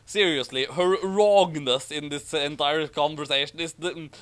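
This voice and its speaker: A man's voice: a little high, steady tone, unhurried, fairly bright tone, smooth. A man reading a story aloud expressively, breaking off for sardonic asides. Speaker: reader of a story with commentary. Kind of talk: story narration with mocking asides.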